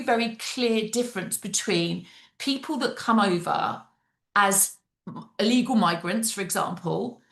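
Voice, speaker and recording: Middle-aged female panel guest, emphatic unscripted delivery, mid pitch, noticeable room echo. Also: fairly bright tone. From London, England. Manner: emphatic, repeats phrases for stress. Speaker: party spokeswoman, panel guest. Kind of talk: spontaneous political discussion. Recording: echoey room.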